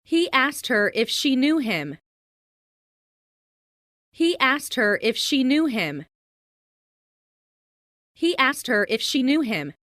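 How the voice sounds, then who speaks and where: A woman reading scripted English example sentences for language learners, enunciating carefully and deliberately. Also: high voice, very animated, a bit bright, no room sound, studio quality. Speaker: English lesson narrator.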